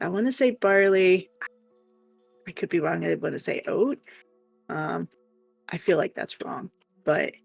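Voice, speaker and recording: Woman livestream co-host, creaky voice, casual and rambling, thinking aloud over background music. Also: fairly low pitch, dry recording.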